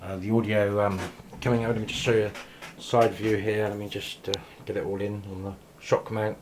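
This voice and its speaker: Low-voiced man rambling over background noise. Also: little pitch movement, fairly quick, darkish tone. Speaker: amateur radio operator filming shack tour. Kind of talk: casual handheld video tour, ad-lib commentary. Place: small indoor radio room. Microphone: handheld camera mic. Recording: noisy handheld camera sound.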